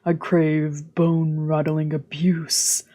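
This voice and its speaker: A woman voicing a troubled, intense young man from a visual novel, confiding in a slow, breathy, husky voice. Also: sits low, little pitch movement, dark tone. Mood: dark, confessional.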